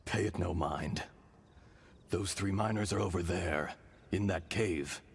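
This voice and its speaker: An actor playing an ancient, weary dragon spirit in human form, speaking in a solemn, breathy, reflective way for a game dub. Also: male voice, low-pitched, measured pace, exaggerated voice. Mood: calm, reflective. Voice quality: breathy, whispery. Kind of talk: scripted English game dub acting. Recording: background music under dialogue.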